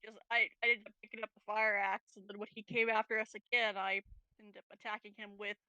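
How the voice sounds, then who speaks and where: Bright, even-toned woman at game table. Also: medium pitch, fast, clear, resonant tone, no echo, muffled recording. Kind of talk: casual game-table chat.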